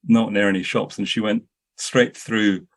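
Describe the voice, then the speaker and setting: A man's voice: lowish voice, fairly even intonation, unhurried, creaky. A man telling anecdotes as a filmmaker guest in a podcast interview, often restarting his sentences.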